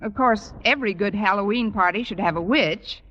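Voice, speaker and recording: A woman's voice: medium pitch, fairly quick, fairly bright tone, lightly acted. A woman playing a wry, sardonic schoolteacher with dry, arch delivery in a vintage radio comedy. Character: wry, wisecracking adult schoolteacher. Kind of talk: 1950s radio comedy acting. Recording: very noisy, muffled old radio recording.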